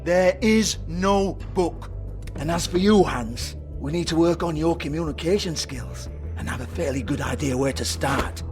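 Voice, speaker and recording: Shifty British club boss for a game, male actor: high-pitched, sneering, foul-mouthed, taunting. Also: moderate pace, over music, natural voice. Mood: evasive, irritated. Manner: sarcastic, sweary, taunting. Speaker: game character, shady club owner. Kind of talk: video game voice acting.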